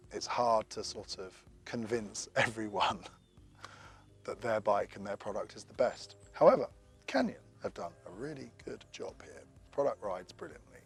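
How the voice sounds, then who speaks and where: A man's voice: fairly low pitch, breathy edge. A man who is losing his voice, chatting casually through a bike review to camera with rambling asides.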